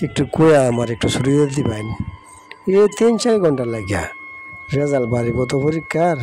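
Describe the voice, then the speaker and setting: Mellow-voiced humble working father played by a man. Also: a little high, big pitch swings, a little fast, faint music behind. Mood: humbly pleading. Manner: deferential, earnest. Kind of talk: cartoon drama dialogue.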